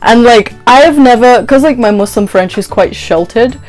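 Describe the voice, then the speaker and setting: A woman's voice: on the high side, normal speed, faint music behind, poor audio. A woman in her twenties chatting casually and telling a story, acting out conversations as she goes. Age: 20s. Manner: animated retelling with quoted dialogue and frequent "like". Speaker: vlogger. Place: small bedroom, indoors.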